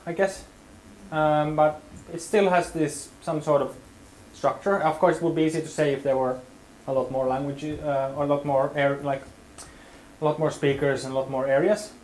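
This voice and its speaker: A man's voice: on the high side, fairly even intonation, moderate pace, darkish tone, echoey room, a little hiss or hum, rough recording. A man leading a hands-on workshop tutorial, talking casually and hedging with many fillers. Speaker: workshop instructor.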